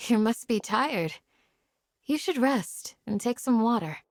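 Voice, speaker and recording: A woman voicing a composed, shrewd, dryly teasing young woman in a video game, cool and deliberate. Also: fairly high pitch, animated, slight background noise. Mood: considerate. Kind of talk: video game character dialogue.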